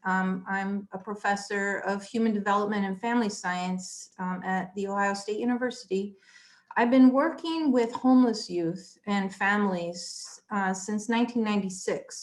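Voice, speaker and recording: A woman professor introducing her work on an online panel, speaking earnestly and steadily with occasional ums. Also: medium pitch.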